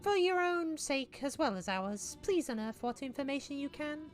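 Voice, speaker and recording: A woman puts on a solemn, learned fantasy-game character with a lofty, high, sing-song voice and clipped, formal phrasing. The tone swings widely in pitch and sounds removed from the natural speaking voice, with soft music behind. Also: expressive, normal speed. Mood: earnest. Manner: clipped formal delivery in a much higher put-on voice. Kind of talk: voicing game dialogue live.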